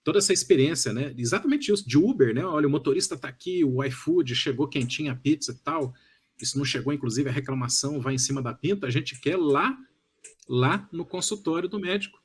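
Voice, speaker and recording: A man's voice: on the high side, brisk, breathy edge. A Brazilian man of fifty-one hosting a podcast interview, talking effusively and wordily with frequent tag questions. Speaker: podcast host.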